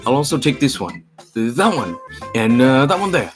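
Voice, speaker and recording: A man voicing a polite, scholarly catboy gentleman, reading game lines in a formal, measured way. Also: mid-range voice, very animated, soft background music, close to an everyday voice. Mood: polite, studious.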